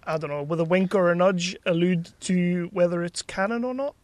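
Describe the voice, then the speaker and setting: A man's voice: sits high, normal speed, dry recording. A man hosting a podcast interview in a casual, enthusiastic fan's manner. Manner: enthusiastic fan, eager. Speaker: podcast host interviewing a guest. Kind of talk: casual chat.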